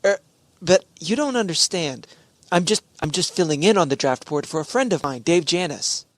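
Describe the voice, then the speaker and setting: A man playing a mild-mannered husband and office worker in a classic radio comedy. His fairly high voice is polite and hesitant, with a wry note of resignation. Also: lively intonation, moderate pace, dry recording, natural voice. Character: mild, deferential male employee and husband, wryly resigned. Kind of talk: old-time radio sitcom acting.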